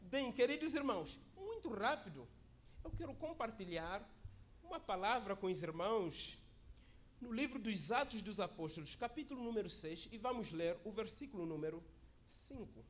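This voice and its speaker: A man's voice: high voice, lively intonation, moderate pace, slight background noise. A man who is a guest preacher at a church service, speaking in a chatty, joking way that often asks "né?" and calls for amens. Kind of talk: informal church sermon.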